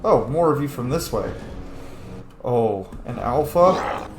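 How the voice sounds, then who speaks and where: Animated man in a roomy-sounding space. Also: medium pitch, slow pace. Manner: frequent casual swearing. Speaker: gaming video host. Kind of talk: casual gameplay commentary and chat. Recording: roomy echo, game music behind. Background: video game music.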